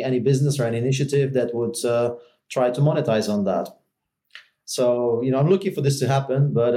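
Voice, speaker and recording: A man from Poland with a Polish accent, speaking as an interview guest in a measured, halting way. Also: medium pitch, dark tone. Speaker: podcast guest. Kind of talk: conversational interview.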